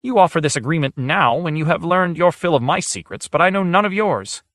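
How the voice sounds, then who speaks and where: A man reading an audiobook, voicing a proud lord with a nasal, shrill voice who speaks in an insistent, pressing way. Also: animated, fairly quick, fairly bright tone, dry recording, clean studio sound. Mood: indignant. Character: proud, urgent male lord, pleading then arguing.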